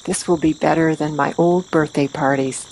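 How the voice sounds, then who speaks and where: Confident female raid leader, acted by a woman: deep for a woman, matter-of-fact, faint noise behind. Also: steady tone, normal speed, a little mellow, low-quality recording. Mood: confident scheming. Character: confident, calculating female vigilante leading a stealth raid. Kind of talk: amateur audio play acting.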